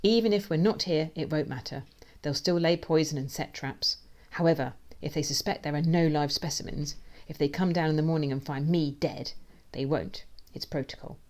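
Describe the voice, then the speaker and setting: A woman voicing a brisk, protective old grandmother mouse in a storybook, resolute and a little snappy. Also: sits low, darkish tone, lightly acted. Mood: cool, resolute.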